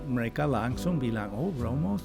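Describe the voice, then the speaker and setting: An older man from Boston with a Boston accent, a native English speaker, answering as a guest in a podcast interview in short, halting phrases. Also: medium pitch, lively intonation, gravelly, over music. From United States. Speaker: guest, priest and professor.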